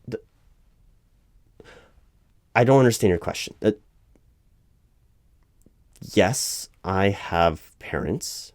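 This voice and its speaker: A man playing an earnest, easily flustered corporate recruiting manager in a one-sided ASMR roleplay, speaking aloud in a halting, officious, repetitive way. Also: low-pitched, no echo, exaggerated voice. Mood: puzzled, defensive. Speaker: job interviewer.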